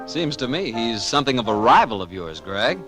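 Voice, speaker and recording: Bright, lively American radio announcer man. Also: medium pitch, brisk, faint music behind. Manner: emphatic, folksy salesmanship. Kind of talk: 1940s radio sponsor pitch and hosting.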